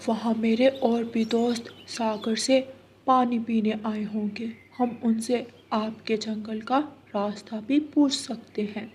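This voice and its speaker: Woman voicing a slow, gentle uncle cloud. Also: on the high side, steady tone, a little hiss or hum. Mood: helpful. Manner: soothing, polite. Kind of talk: Hindi children's cartoon story acting.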